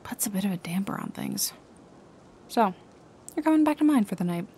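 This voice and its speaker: A woman's voice: medium pitch, animated, fairly quick, dark tone, a little hiss or hum, close to an everyday voice. A woman playing a sarcastic female private detective in a noir ASMR roleplay, with a dry, casually streetwise delivery. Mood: dry, teasing. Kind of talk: noir ASMR audio roleplay, intimate second-person acting. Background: music, rain and city ambience.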